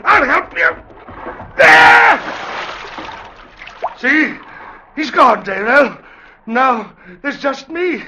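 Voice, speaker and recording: A frantic, guilt-crazed ship captain. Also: male voice, very high voice, expressive, drawn-out words, long pauses, pushed and bright, raised voice, exaggerated voice. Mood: frenzied. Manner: frantic, rambling, melodramatic. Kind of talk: 1940s-50s radio drama acting. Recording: dull, noisy vintage radio recording.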